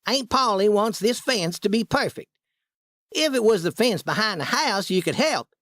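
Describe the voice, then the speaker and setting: A man voicing a clever, scheming young boy in a storybook reading, with a high, coaxing boyish voice. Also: expressive, normal speed, a bit bright, no room sound. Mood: sly.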